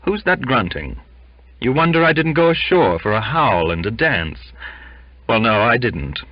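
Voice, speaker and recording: A man narrating a literary audiobook in a brooding, theatrical manner with deliberate phrasing. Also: mid-range voice, very animated, dry recording. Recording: dull, lo-fi audio.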